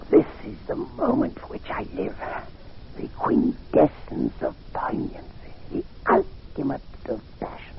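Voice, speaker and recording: An actor playing a frail, decadent old gentleman in an old-time radio drama, gravelly and halting, savoring his words as they break into breathy whispers. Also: very deep voice, full character voice. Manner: theatrical, savoring, halting, courtly. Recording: dull, hissy vintage radio recording.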